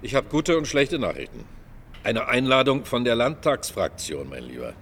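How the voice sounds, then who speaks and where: An actor playing a smooth, chummy political crony in a German radio crime drama. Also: male voice, mid-range voice, sing-song, normal speed, a bit bright. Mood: jovial, confidential. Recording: long reverberant hall, faint noise.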